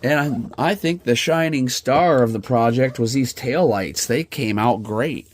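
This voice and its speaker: A man's voice: medium pitch, unhurried, slight background noise. Nasal man with a head cold. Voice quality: slightly nasal. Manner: relaxed, chatty, with fillers and restarts. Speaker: RC hobby channel host. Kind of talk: casual how-to build commentary. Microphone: phone camera.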